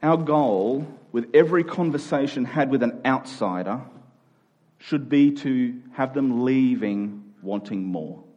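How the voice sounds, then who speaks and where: A man's voice: medium pitch, animated, a little slow, a little mellow, muffled recording. An Australian man giving a conversational sermon to a congregation in an informal, joking manner with rhetorical questions. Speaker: preacher. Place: live before a congregation.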